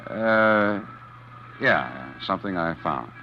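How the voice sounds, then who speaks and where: An actor playing a bitter, scheming estranged husband in a 1940s radio mystery, speaking in a halting, brooding way. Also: male voice, sits low, animated. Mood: nervous, insistent. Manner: hesitant, brooding, with halting repeated words. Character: bitter, scheming estranged husband narrating his own tale. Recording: dull 1940s radio recording with music.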